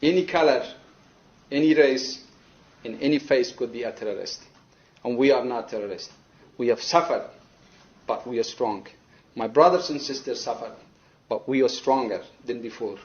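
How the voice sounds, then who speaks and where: A man from Afghanistan with an Afghan accent giving a courtroom statement, earnest and halting but firm and resolute. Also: medium pitch, darkish tone, dull audio. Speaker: attack survivor giving a victim statement. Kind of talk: courtroom statement, partly spontaneous.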